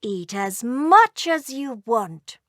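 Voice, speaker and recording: A woman voicing a doting, gentle mother dragon in a children's storybook, tender and motherly. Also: a little high, big pitch swings, slow, dry recording, studio quality, heavily acted. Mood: kindly. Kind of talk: children's storybook read aloud.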